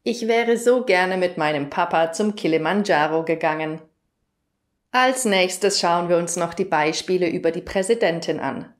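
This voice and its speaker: A German woman teaching grammar in a lesson video, explaining clearly and asking the viewer questions. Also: fairly low pitch, normal speed.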